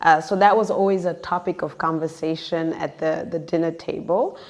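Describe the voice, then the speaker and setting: A woman born in Senegal and raised in the United States, speaking reflectively as a podcast guest, with halting, thoughtful phrasing. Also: low-pitched, fairly even intonation, darkish tone. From born in Senegal, grew up in the United States. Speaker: interview guest, entrepreneur. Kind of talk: reflective personal podcast conversation.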